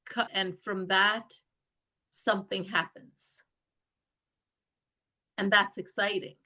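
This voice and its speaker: A woman hosting an interview, asking measured, probing questions. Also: mid-range voice, flat delivery, bright, forceful tone. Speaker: interview host. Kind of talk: interview conversation.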